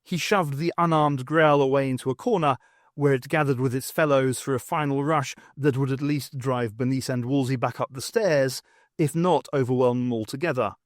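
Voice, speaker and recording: A man's voice: mid-range voice, fairly even intonation, normal speed, no echo, studio quality. A man narrating a fiction audiobook in a scripted storytelling style.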